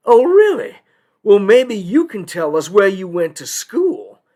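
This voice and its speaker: A man's voice: high-pitched, very animated, slow, a little mellow, pitched above the performer's own voice, heavily acted. A man voicing a pompous, clubby good-old-boy judge, in a live-told story. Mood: scornful, suspicious. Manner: drawling, incredulous, clubby.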